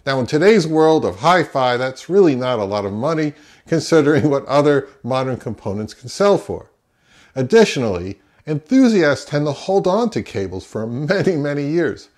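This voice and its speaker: A man's voice: fairly high pitch, big pitch swings, moderate pace, darkish tone. An American man in his fifties giving a semi-scripted review to camera, emphatic and opinionated, posing questions and answering them himself. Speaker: audio reviewer and host. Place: small indoor home office.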